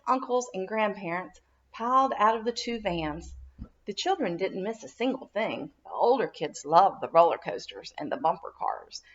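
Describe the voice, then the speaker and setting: A woman reads a storybook aloud for young children in a mid-pitched voice. Her narration is animated and dramatic, with lots of melodic movement. The sound is a little dull and lo-fi. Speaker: children's minister reading a storybook.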